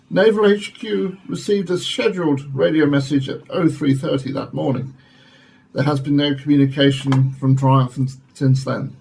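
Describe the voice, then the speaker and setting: An actor playing a grave defence minister making a formal, measured parliamentary statement in a radio play, with a gravelly voice. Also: male voice, mid-range voice, a little mellow, a little hiss or hum, close to an everyday voice, low-quality recording.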